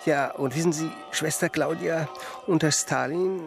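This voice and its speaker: A man's voice: fairly high pitch, a little slow, vocal fry, music in the background. An actor playing a long-winded, self-satisfied churchman who lectures pedantically in a radio drama. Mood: smug, lecturing.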